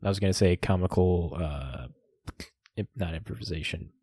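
A man's voice: deep voice, moderate pace, dark tone, breathy edge, no echo. A man hosting a podcast in a casual, joking way. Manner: laid-back, joking, searches for words. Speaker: podcast host.

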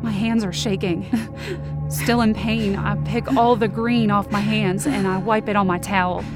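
A woman's voice: mid-range voice, fairly even intonation, normal speed, loud music behind the voice, close to an everyday voice. A woman playing a wounded, angry, defiant young woman, narrating in the first person with intense feeling in an audio drama. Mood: urgent, anxious. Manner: intense, emotive.

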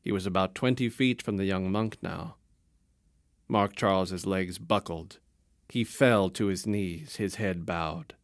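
Low calm guy reading a spooky story, dry close sound. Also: unhurried, no echo. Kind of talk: scripted story narration.

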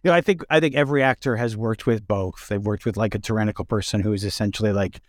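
A man with a slightly low voice in a dry, close recording. He speaks casually as an interviewer, feeling his way thoughtfully through each point with some hesitation. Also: quick pace, dry recording. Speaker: interviewer and fellow theatre director.

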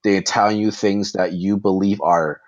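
Deep-voiced man, reflective and rambling. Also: steady tone, normal speed, highs cut off. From Philippines. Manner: reflective, rambling, restarts sentences. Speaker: therapist. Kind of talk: casual conversation.

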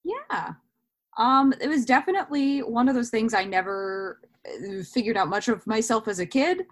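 A woman in her twenties chatting casually as an interview guest, talking in a reflective, think-out-loud way. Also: on the high side, animated, moderate pace. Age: 20s.

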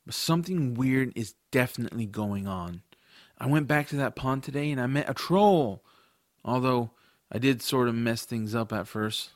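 A man playing a curious, adventurous young boy who narrates his own story in an expressive, earnest audiobook style. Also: mid-range voice, normal speed, no room sound, clean studio sound, close to an everyday voice. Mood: earnest, wistful. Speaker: narrator and lead character.

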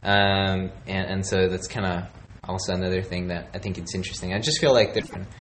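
A man with a fairly low voice leads a casual conversational interview. He talks in a rambling way, often dropping a thought halfway and picking up another. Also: fairly even intonation, a little fast, slight background noise.

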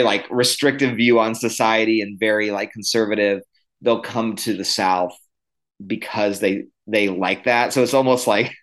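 A man with a fairly low voice, a guest on a podcast who grew up in the Midwest. He talks loosely, with plenty of "um" and "like," speeding up and then slowing down as he thinks. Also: rough recording. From Midwest, Illinois. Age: millennial. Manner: conversational, many fillers, uneven pace. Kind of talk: podcast interview.

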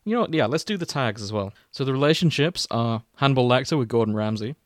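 A man's voice: medium pitch, expressive, fast talker, no room sound, clean studio sound. A man hosting a podcast in casual comedic chat, joking and swearing freely. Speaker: podcast host.

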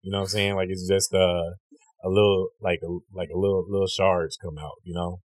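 A deep-voiced young man tells a long story in loose chat, cursing freely, restarting his sentences and slipping into a higher voice when he quotes people. His voice sits low, and the close podcast mic keeps the sound dry. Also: level tone, a little fast, no room sound. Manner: rambling, profane, restarts often, acts out quotes in a high voice. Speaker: comedian and podcast host.

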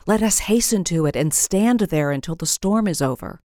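A woman's voice: lowish voice, animated, moderate pace, darkish tone, dry recording, studio quality, close to an everyday voice. A woman reading the part of a gracious, kindly noble lady in a storybook, with a sweet, welcoming, formal way of speaking. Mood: gently urgent.

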